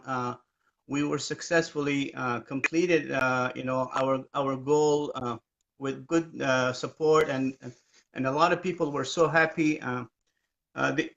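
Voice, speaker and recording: A man speaks in a bright, fairly high voice, pausing often with filler sounds as he explains his charity work. The audio is dull and lo-fi, like a live stream. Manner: hesitant, many "uh" fillers, slow. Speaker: aid agency founder, live stream host. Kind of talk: casual live stream chat.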